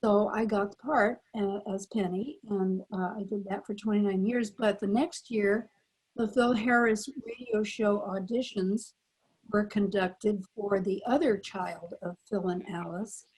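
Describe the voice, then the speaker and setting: An American woman in her eighties, a former radio actress, casually reminiscing in a panel interview with a husky voice. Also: mid-range voice, fairly even intonation, measured pace, a little mellow, low-quality recording. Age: 80s. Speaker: former child radio actress, convention guest. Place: remote virtual convention call.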